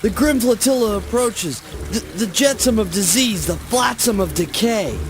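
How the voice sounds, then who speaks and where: A man playing a brooding, anguished father in a theatrical spoken word play, speaking slowly in a declamatory, self-questioning way. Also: high-pitched, animated, music in the background. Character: brooding, anguished father watching corpses drift downriver.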